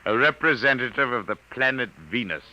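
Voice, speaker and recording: An actor playing a smug Venusian invader, formal and condescending, in a vintage radio drama. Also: male voice, medium pitch, brisk, bright, forceful tone, lo-fi sound. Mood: smug, menacing. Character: sinister, smug Venusian invader posing as a gentleman. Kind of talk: 1950s radio drama acting. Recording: hissy vintage radio recording.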